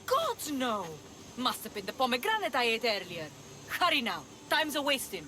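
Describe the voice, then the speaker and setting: Sly treasure hunter over background noise. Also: female voice, a little high, big pitch swings, normal speed, bright, forceful tone. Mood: sly, eager. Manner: theatrical, sly. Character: scheming, boastful seafaring treasure hunter who double-crosses mercenaries. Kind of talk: video game cutscene acting.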